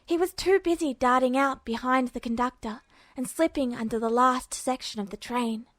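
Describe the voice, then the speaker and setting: Female amateur narrator for fiction, fairly high voice, dry, close sound. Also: moderate pace, fairly bright tone, dry recording. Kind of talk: scripted story narration.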